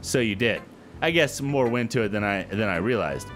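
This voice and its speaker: A man's voice: lowish voice, lively intonation, normal speed, a bit bright. A man giving casual let's-play commentary and reading visual novel dialogue aloud. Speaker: gaming video host. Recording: game music under the voice. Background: game music.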